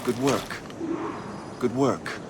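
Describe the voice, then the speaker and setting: An actor playing a composed, fatherly colonial army colonel in a video game, speaking in a formal, measured, authoritative way. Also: medium pitch, very noisy. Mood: instructive, grave.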